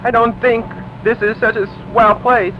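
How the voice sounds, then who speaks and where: A man voicing a frightened, excitable young adventurer with melodramatic exclamations in a horror story. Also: very high-pitched, normal speed, noisy background, pitched above the performer's own voice. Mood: nervous. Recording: dull lo-fi recording under loud music.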